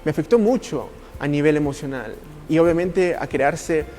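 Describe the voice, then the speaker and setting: Man, from Italy, slightly high voice, passionate, halting delivery, podcast guest giving earnest advice, faint music underneath. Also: warm tone.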